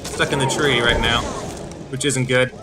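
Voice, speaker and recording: A man's voice: mid-range voice, steady tone, normal speed, noisy background. A man giving explanatory video game commentary, with hesitant phrasing and repeated words. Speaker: gameplay host. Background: game music.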